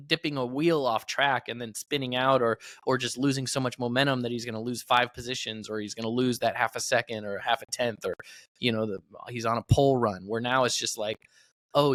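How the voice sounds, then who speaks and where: A man's voice: medium pitch, a bit bright, no room sound, studio quality. An American man co-hosting a motorsport podcast, talking casually and arguing his point with rhetorical questions. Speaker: podcast co-host and professional racing driver.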